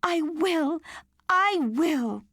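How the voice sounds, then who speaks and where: A woman's voice: lively intonation, extremely slow, a bit bright, no echo, studio quality, full character voice. A woman voicing a distraught, defiant little schoolgirl in an audiobook story, pleading and emotional. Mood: desperate, eager. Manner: pleading, emotional, high-pitched outbursts.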